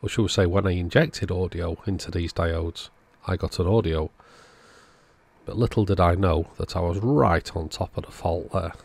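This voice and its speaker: A man, host of an electronics repair video, calmly thinking aloud as he methodically works on a radio at his workbench. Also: sits low, moderate pace, vocal fry, a little hiss or hum. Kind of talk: casual narrated repair walkthrough.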